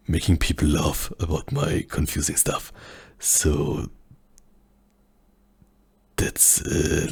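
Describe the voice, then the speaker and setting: A man from a German-speaking country with a German accent, speaking softly and often in a whisper as he answers viewer questions in a hesitant way, with many pauses. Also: very deep voice, husky. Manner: hesitant, many fillers, searching for words. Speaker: ASMR channel host. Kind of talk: soft-spoken ASMR Q&A.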